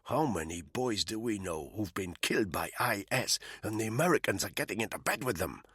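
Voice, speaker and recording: A man's voice: lowish voice, expressive, fairly quick, a bit bright, slightly rough, no room sound, clean studio sound. A man playing a bitter, foul-mouthed male soldier who hisses his disgust. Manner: hissing, disgusted.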